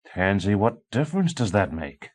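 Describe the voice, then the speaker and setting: A man's voice: fairly low pitch, very animated, normal speed. A man reading an audiobook, voicing an exasperated professor husband who speaks firmly and impatiently. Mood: stern. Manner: firm, impatient.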